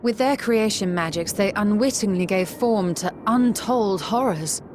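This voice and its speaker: An actress playing a learned woman who calmly explains old magic in a game cutscene. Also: medium pitch, a little slow, a little hiss or hum, natural voice. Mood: thoughtful. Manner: explanatory, composed. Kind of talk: video game cutscene acting. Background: orchestral music.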